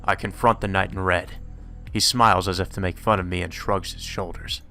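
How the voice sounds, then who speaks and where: Low-pitched man reading a visual novel. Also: fairly even intonation, brisk, creaky, pushed and bright, faint music behind. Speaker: let's play narrator.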